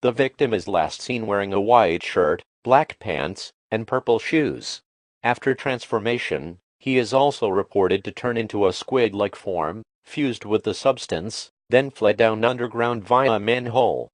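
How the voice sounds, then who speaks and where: A man delivering a formal, official emergency broadcast in a deliberate, impersonal manner. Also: lowish voice, dry recording, lightly acted. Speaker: emergency alert announcer.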